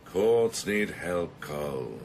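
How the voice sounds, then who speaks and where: A man's voice: sits low, sing-song, very slow, creaky, a little mellow, a little hiss or hum, heavily acted. An actor playing a middle-aged senior policeman in a radio drama, brooding and vengeful, sardonic and clipped. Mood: brooding, bitter. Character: grim, vengeful senior male police officer.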